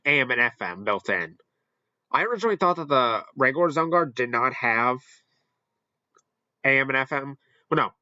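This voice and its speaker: A man hosting a casual, unscripted ranking commentary, halting and rambling as he corrects himself along the way. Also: medium pitch, sharp tone, no room sound, lo-fi sound. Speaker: video host ranking products.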